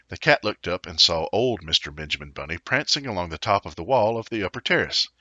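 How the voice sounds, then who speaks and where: A man reading a children's story aloud in an animated, theatrical way. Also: sits low, dry recording, highs cut off. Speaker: storybook reader. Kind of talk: expressive children's story narration.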